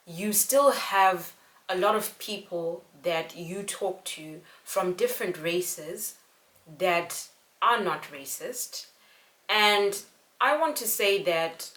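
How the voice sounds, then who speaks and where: A young woman from Zimbabwe, telling a personal story to camera in an earnest, unhurried way. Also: fairly low pitch, a bit bright. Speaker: vlogger. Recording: echoey room.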